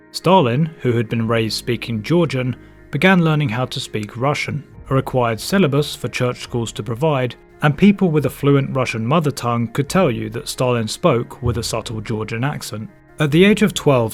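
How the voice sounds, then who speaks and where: A man narrating a scripted history documentary in a measured, explanatory way. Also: medium pitch.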